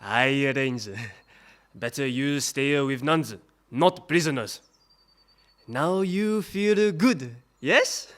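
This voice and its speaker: An actor playing a leering Japanese army colonel in an audio drama, speaking halting, insinuating English with a Japanese accent. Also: male voice, medium pitch, fairly bright tone.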